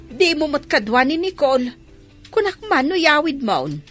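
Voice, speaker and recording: A woman's voice: high-pitched, very animated, moderate pace, a bit bright, soft background music. A woman playing a practical, friendly village woman in a radio drama. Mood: practical, coaxing. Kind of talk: radio comedy drama dialogue.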